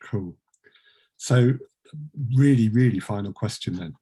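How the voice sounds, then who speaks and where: A man's voice: on the low side, a little slow, warm tone, slightly rough, poor audio. A man hosting a casual interview, informal and jokey in the way he talks. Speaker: host and producer.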